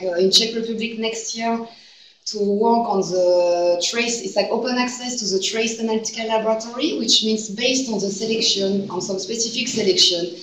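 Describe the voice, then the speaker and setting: French-accented woman presenting in an echoey room. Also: mid-range voice. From France. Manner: non-native phrasing with frequent "like" fillers. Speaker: guest researcher presenting a seminar. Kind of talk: academic seminar presentation. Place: seminar room. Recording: echoey room, distant mic.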